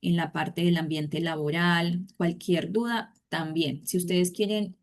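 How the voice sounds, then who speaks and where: Woman, low-pitched, class instructor, casual filler-heavy talk, video call. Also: steady tone, measured pace. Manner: conversational, many fillers and tag questions. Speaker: course instructor. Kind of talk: online class introduction.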